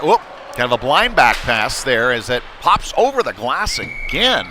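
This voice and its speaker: Man calling hockey over rink noise. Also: on the low side. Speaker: play-by-play sports commentator. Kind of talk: live youth hockey play-by-play commentary. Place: indoor ice hockey rink. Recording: noisy ice rink ambience. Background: clear rink noise and ambience.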